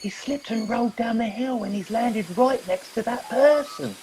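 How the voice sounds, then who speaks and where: A man's voice: very high-pitched, moderate pace, noisy background. A man playing a naive, sulky young private and mummy's boy in a comic radio play, childish and a little whiny.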